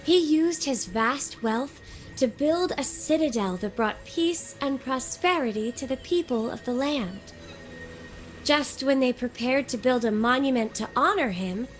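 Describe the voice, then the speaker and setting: High-voiced, unhurried young storyteller. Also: female voice. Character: young woman, gentle, curious, earnest teller of legends. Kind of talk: video game voice acting, measured storytelling.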